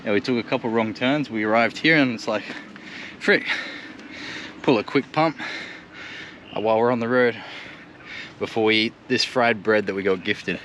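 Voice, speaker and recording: A man's voice: on the low side, moderate pace, pauses often, fairly bright tone. A young Australian man chatting casually in a travel vlog, laid-back and full of filler words, recorded outdoors by a road. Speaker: travel vlogger. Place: outdoors by a road while driving a tuk-tuk. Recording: outdoor recording with clear traffic noise. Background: traffic and vehicle noise.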